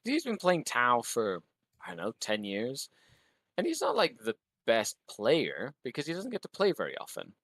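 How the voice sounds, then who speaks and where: A man's voice: medium pitch, wildly swooping pitch, moderate pace, fairly bright tone, no echo. A man chats about tabletop gaming as a podcast co-host, leaning on fillers as he talks. His voice is recorded dry and close on a studio mic, with no room sound. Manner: chatty, many fillers like "you know" and "like". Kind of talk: casual gaming discussion.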